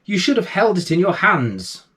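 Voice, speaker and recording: A man's voice: high voice, big pitch swings, moderate pace, a bit bright, voice pushed up. A man voicing a scolding, exasperated mother in a children's storybook tale. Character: scolding mother of a lazy boy.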